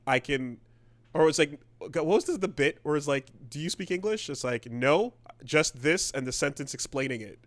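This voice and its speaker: A man's voice: fairly high pitch, expressive, normal speed, no echo. A man co-hosting a podcast, talking in a loose and rambling way and swearing casually as he goes. His voice is close and dry on the mic, with no room sound. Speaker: podcast co-host. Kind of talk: casual chat.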